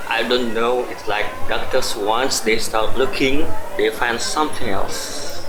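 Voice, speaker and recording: A man playing a casual, unhurried friend in a radio drama. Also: mid-range voice, fairly even intonation, over music, close to an everyday voice. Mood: laid-back. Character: casual, slow-talking male friend at a meal. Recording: echoey, crowd noise behind.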